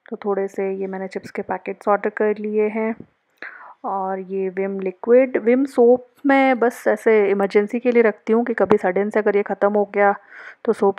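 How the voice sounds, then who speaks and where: A woman in her thirties chatting casually at home about her monthly groceries, pausing often with fillers and slipping into English phrases. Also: mid-range voice. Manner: relaxed, mixes English phrases, many pauses and fillers. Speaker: vlogger. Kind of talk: casual grocery haul narration. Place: home, indoors.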